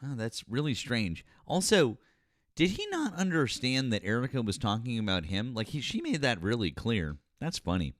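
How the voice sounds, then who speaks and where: A man's voice: mid-range voice, sing-song, moderate pace, no echo, clean studio sound. A man hosting a live talk show, commenting casually and mockingly on a caller. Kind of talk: casual commentary after a call.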